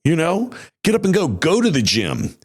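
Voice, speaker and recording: A man's voice: a little high, huge pitch swings, normal speed. A man hosting a podcast in casual chat, his voice tired and worn, rambling in a folksy way with many restarts. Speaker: podcast host. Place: home studio.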